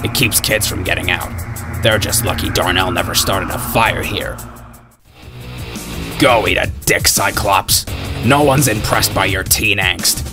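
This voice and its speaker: Male actor as snarky ginger schoolboy, video game character, low-pitched, fry-laden voice, cocky, slangy, sardonic. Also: a little slow, over music. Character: snarky, foul-mouthed ginger schoolboy gunslinger, cocky yet caring.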